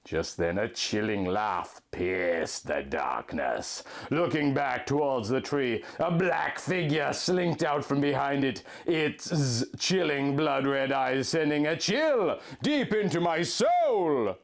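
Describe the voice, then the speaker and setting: A man's voice: mid-range voice, animated, takes it slowly, vocal fry, hardly any pauses. A man reading a fan story aloud as host, with a mock-dramatic storybook delivery.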